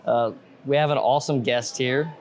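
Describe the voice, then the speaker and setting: A man's voice: medium pitch, fairly even intonation, normal speed. Rambling male podcast host. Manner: loose and rambling, many restarts and "like"s. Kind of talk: casual podcast chat.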